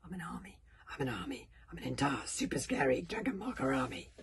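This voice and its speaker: A woman's voice: extremely low pitch, sing-song, brisk, gravelly, slight background noise, exaggerated voice. A woman voicing an anxious, sarcastic young boy in a storybook, with a bleating, exasperated manner. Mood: frightened.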